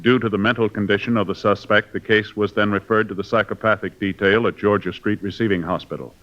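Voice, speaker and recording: A man's voice: fairly low pitch, steady tone, fast talker, a bit bright. A man with an American accent reading formal scripted narration as a 1950s radio announcer. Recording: muffled old radio broadcast.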